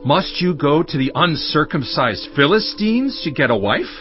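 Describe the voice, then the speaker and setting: A man's voice: a little high, big pitch swings, normal speed. Exasperated, disapproving biblical father. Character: disapproving father of a headstrong son. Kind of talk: dramatized scripture audio drama. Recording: dull lo-fi audio, music behind.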